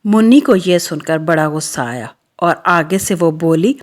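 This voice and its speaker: A woman's voice: low voice, lively intonation, normal speed. A woman narrating a scripted children's story.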